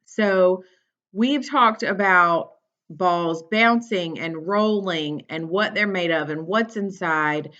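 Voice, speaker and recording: A woman's voice: on the low side, takes it slowly, a bit bright. A woman teacher reading a picture book aloud to young children, instructing and prompting them with questions. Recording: dull, lo-fi sound.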